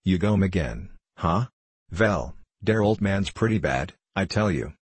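Male narrator as ailing old German railroad man, stubborn, growling, low-pitched, thick German accent, storybook character. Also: expressive, unhurried, slightly rough, no echo, full character voice. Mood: grumbling. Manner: blunt, grumbling, broken English.

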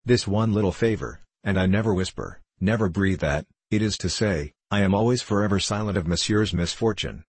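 A man's voice: sits low, brisk, few pauses, breathy edge, no room sound. A man voicing a young French gambler in an audiobook, with a French accent and broken English, courtly, taunting and self-assured. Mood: smoothly insistent. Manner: broken English, courtly, theatrical chuckling asides. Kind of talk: audiobook character voice.

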